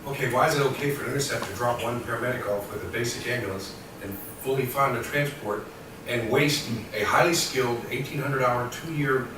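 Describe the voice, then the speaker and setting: A man who works as a paramedic, arguing his point forcefully at a public meeting. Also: medium pitch, fairly quick, noisy background. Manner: forceful, argumentative. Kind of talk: meeting debate. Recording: echoey room from far off.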